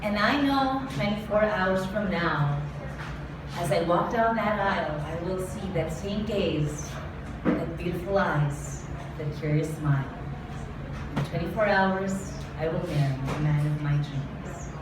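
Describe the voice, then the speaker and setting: Slow, dramatic woman poet in a roomy hall. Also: lowish voice. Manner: dramatic, intimate delivery with repeated refrains. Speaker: spoken word performer. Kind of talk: live spoken word poetry. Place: live performance venue. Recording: roomy echo, far from mic, ambient noise.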